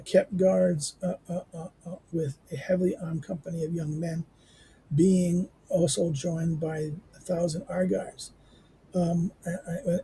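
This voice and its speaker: A hoarse man reads a history book aloud as a teacher would, stopping often to hesitate and start words over. His voice sits fairly high but sounds dark and gravelly, with a rough, raspy edge. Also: a little hiss or hum, rough recording. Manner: hesitant, many fillers and restarts. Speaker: teacher reading a classic text aloud. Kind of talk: halting read-aloud with commentary.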